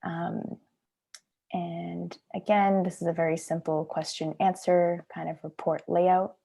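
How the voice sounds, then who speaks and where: A woman with a fairly low, mellow voice and plenty of vocal fry presents an informal software walkthrough. Her tone is relaxed and soft-edged. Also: a little slow. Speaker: project manager demonstrating software.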